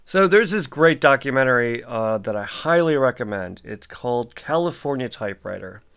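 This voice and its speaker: A man's voice: mid-range voice, animated, normal speed, gravelly, fairly bright tone, clean tone, soft background music. A man in his forties hosting a solo podcast, sounding congested and stuffy, rambling casually with lots of ums and uhs. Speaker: podcast host.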